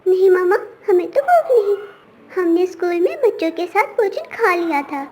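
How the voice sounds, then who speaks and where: Very high, childlike little bird voiced by a woman. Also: big pitch swings, moderate pace, a little mellow, slight background noise. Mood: plaintive. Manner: childlike, earnest. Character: hungry, considerate little child birds, brother and sister.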